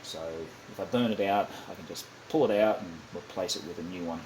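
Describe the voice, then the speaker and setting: A man giving a casual, unscripted hands-on demonstration, halting and thinking aloud as he goes, often correcting himself. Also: low voice, darkish tone. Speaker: hobbyist demonstrating a kit build. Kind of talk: casual unscripted how-to narration. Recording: clearly noisy amateur home recording.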